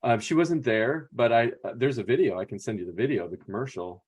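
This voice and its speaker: A man's voice: medium pitch, quick pace, darkish tone, slightly rough. An American man telling anecdotes casually as a podcast co-host and coach.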